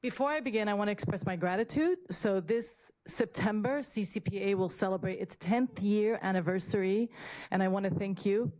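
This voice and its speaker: A woman's voice: medium pitch, darkish tone, low-quality recording. A woman giving a prepared public presentation as a school principal, earnest and repeating phrases for emphasis. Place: public board meeting room, at a podium.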